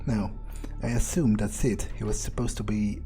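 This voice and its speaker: A player narrating a video game in character, thinking aloud in a sardonic way with pauses mid-sentence. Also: mid-range voice, fairly even intonation, mellow, soft background music. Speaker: game player role-playing his character.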